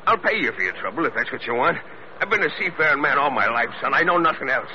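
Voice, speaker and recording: An actor playing an old, stubborn, drunken sea captain, rambling and halting in salty seafaring talk, in 1940s radio drama style. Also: male voice, medium pitch, animated, lightly acted. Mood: resigned pleading. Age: older. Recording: noisy, muffled old radio drama recording.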